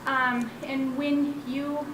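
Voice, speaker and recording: A woman with a high voice opens the Q&A, speaking in a friendly way with many "um"s. She talks on a handheld mic in an echoey room. A hiss of noise runs behind her. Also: little pitch movement, extremely slow. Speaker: event moderator. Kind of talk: moderating a Q&A. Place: meeting room.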